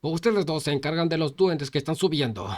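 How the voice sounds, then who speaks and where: A man's voice: fairly high pitch, brisk, voice pushed up, close to an everyday voice. A man voicing a young male team leader who gives firm orders in a story. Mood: commanding.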